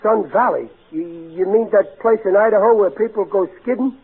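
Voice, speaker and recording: An actor playing a blustering, soft-hearted working-class American family man, folksy and bumbling, in an old-time radio comedy. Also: sits high, normal speed, husky. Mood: indignant. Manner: folksy, bumbling, exasperated, ungrammatical. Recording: tinny, noisy vintage radio recording.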